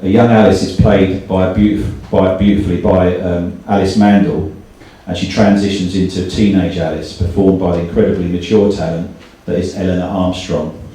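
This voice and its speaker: Male event host, deep and soft-toned, earnest, slightly hesitant live speech; very reverberant room. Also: little pitch movement, moderate pace, few pauses. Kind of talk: live introduction speech at a book launch. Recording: echoey room, distant mic, faint noise.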